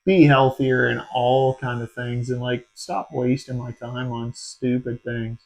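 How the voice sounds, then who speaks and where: A man's voice: medium pitch, measured pace, vocal fry, poor audio. Swearing man in his forties, chatting casually. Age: 40s. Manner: swearing, animated storytelling, says dude a lot. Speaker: podcast host. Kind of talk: casual chat.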